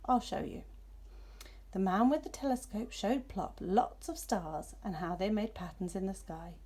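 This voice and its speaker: A woman gently and expressively narrating a children's story as its reader. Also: medium pitch, lively intonation, normal speed, slightly rough.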